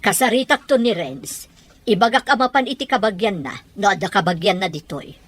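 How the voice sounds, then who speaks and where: A woman's voice: medium pitch, lively intonation, brisk, fairly bright tone. A woman playing a firm, practical mother and landlady in an audio drama, matter-of-fact in manner. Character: practical, firm mother who rents out rooms.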